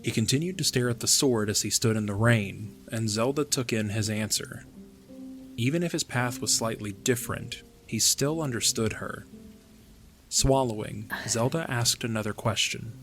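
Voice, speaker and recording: A man's voice: mid-range voice, moderate pace, fairly bright tone, soft background music. A man giving scripted narration of a fantasy audio drama as its narrator.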